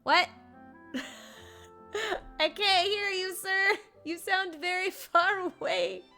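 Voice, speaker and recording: A woman giving casual, expressive and playful reaction commentary as she streams a game. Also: very high voice, slow pace, soft background music.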